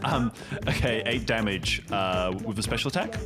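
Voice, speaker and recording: A man's voice: fairly low pitch, expressive, normal speed. A man improvising comic narration as game master of a tabletop game, often breaking into giggles as he talks. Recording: music playing behind the voice.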